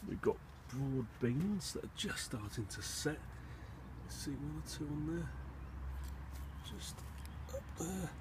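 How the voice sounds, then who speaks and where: A man giving a casual, low-key, slightly hesitant tour as a gardening vlogger, thinking aloud as he goes. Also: expressive, slow pace. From England. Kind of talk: casual rambling video tour. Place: outdoors on an allotment and in a polytunnel. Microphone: handheld phone or camera behind the lens. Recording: noisy handheld outdoor recording, wind and background rumble.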